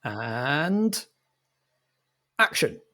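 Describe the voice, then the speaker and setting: A man with a mid-pitched voice directs as game master. He sets up improvised comedy scenes deliberately, stopping and starting as he goes. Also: big pitch swings, sharp tone. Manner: halting, deliberate.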